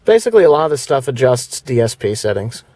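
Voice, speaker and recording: A man talking casually as he shows off the stereo in his car, chatty and relaxed, with the odd filler and restart. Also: medium pitch, fast talker, dry recording. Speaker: car audio reviewer. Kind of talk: casual hands-on product demo. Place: inside a car. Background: faint music from the car stereo.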